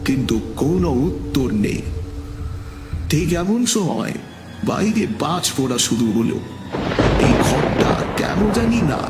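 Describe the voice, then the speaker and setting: Guy narrating a creepy first person story, dramatic and suspenseful, middling pitch, loud music behind him.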